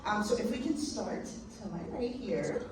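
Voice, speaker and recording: A woman moderating a panel speaks politely, with the odd hesitant um. She sounds distant, in a very echoey hall with steady background noise. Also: mid-range voice, a little slow. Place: convention hall.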